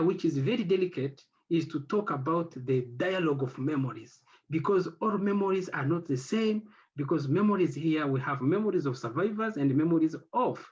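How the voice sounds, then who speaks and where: A man whose first language is French speaks on an academic panel. His voice sits a little high and moves a lot in pitch. He pauses and searches for words, and the recording sounds dull and lo-fi. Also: a little mellow. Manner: halting, searching for words with many fillers. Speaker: head of an institute.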